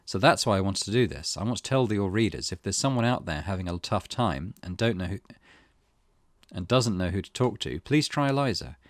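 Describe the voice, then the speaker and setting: A man's voice: fairly low pitch, expressive, fairly quick, dry recording, studio quality, lightly acted. A man voicing an earnest, plain-spoken female manager, reading her interview quotes from a visual novel. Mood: sincere, concerned.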